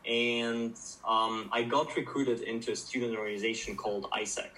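Young man from Ukraine, bright distant voice. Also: mid-range voice, fairly even intonation, moderate pace. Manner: hesitant, many fillers and restarts, thoughtful. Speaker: guest, startup founder. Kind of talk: casual interview conversation.

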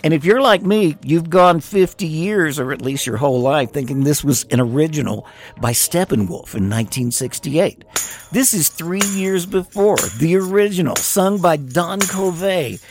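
A man's voice: a little high, lively intonation, normal speed. A man hosting a music show, delivering scripted music-history narration in a wry, wordy, playful way. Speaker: radio music show host. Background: music under the voice.

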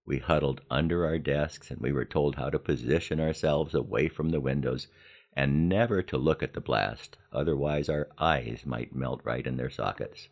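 An American man in his seventies narrating a scripted personal podcast in a measured storytelling manner with deliberate emphasis. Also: rumbling low. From Los Angeles, United States. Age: 70s. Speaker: podcast host.

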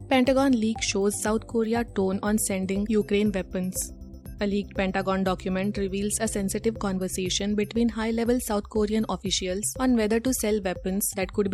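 A woman's voice: medium pitch, darkish tone. A woman with an Indian accent presenting a scripted news bulletin as a newsreader.

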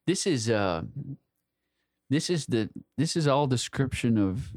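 A man's voice: medium pitch, animated, measured pace, darkish tone, dry recording, studio quality. A man co-hosting a casual literary discussion, searching for words, repeating phrases and swearing freely. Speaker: podcast co-host.

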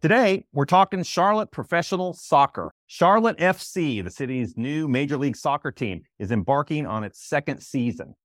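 A man's voice: a little high, animated, moderate pace, hardly any pauses, no echo. Male podcast host, dry close sound. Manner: scripted, smooth intro delivery. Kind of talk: podcast hosting and interviewing.

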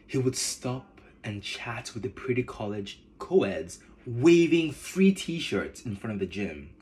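A man from Nigeria with a Nigerian accent, hosting a podcast in measured, earnest scripted narration. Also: mid-range voice, sing-song, darkish tone. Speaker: podcast host.

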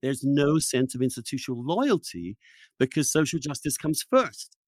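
Man talking in halting, restarting bursts. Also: medium pitch, big pitch swings, a little mellow, dry recording, clean studio sound. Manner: halting, repeats and restarts phrases. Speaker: podcast host. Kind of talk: conversational interview with opinionated commentary.

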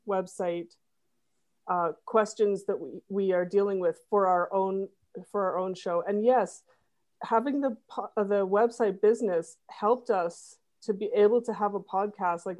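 A woman's voice: mid-range voice, warm tone. A woman guest on a podcast explaining how she runs her site, rambling with fillers. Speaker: podcast guest, web designer. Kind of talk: casual interview.

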